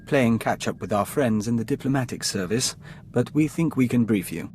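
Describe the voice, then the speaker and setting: A man's voice: medium pitch, expressive, normal speed, a little mellow, slight background noise, natural voice. A man playing a formal intelligence analyst in a video game briefing, precise, clipped and matter-of-fact. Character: crisp, formal male Imperial intelligence analyst.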